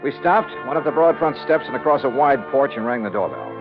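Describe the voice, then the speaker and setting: An actor playing a brash, wry insurance investigator in a 1950s radio noir drama. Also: male voice, medium pitch, expressive, quick pace, music in the background, lightly acted. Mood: wry narrating. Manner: wry, flippant, casual. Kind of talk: 1950s radio noir acting and first-person narration. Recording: dull vintage radio recording.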